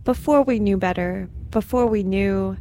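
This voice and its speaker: A woman's voice: medium pitch, moderate pace, mellow, slight background noise. A woman reading poetry aloud in a serious recitation style. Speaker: poetry reader.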